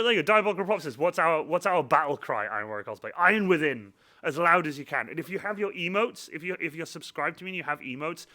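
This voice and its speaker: A young man chats through his live stream in a bright, forward voice that jumps all over the place. He stumbles over viewers' names, mocks himself and laughs a lot. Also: fairly high pitch. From Wales. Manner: chatty and self-mocking, stumbles over names, laughs often. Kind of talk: casual live-stream chat.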